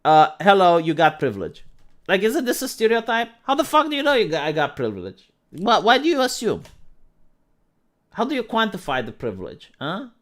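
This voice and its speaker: Animated sarcastic streamer man. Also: sits high, brisk. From Moldova. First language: Romanian. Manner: animated and sarcastic, repeats words when starting. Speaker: live streamer. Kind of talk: casual reaction commentary.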